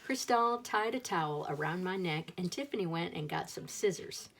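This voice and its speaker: A woman's voice: lowish voice, lively intonation, normal speed, gravelly, a little hiss or hum. A woman reading a children's picture book aloud as a storyteller, expressively acting out the dialogue.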